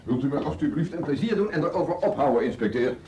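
An actor with a Netherlands Dutch accent playing a stubborn, firm and curt police commissioner in a naturalistic radio play. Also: male voice, a little high, lively intonation, fast, noisy background. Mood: exasperated, firm. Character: stubborn, authoritative male police commissioner, short-tempered. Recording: muffled radio drama recording.

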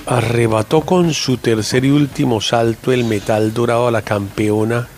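A man's voice: medium pitch, fairly even intonation, normal speed, darkish tone. A man hosting a radio show, chatting casually in a joking, teasing and opinionated way.

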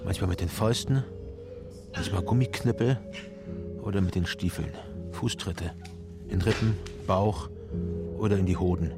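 A man playing a beaten, broken prisoner in a radio drama, speaking in halting fragments. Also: low voice, vocal fry, over loud music. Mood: haunted. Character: beaten, broken male prisoner recalling his torture. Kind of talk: German radio drama acting.

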